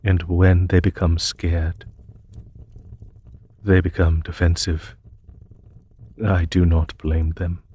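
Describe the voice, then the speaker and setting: A man acts out a solemn demon emperor in a fantasy audio roleplay. His voice is low and husky, and he speaks very slowly. His phrasing is formal and polite, with a gentle, reassuring manner. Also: flat delivery, exaggerated voice. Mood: sombre regret. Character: courteous, solemn, gentle male demon emperor rescuing an injured human. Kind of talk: scripted audio roleplay addressed to the listener.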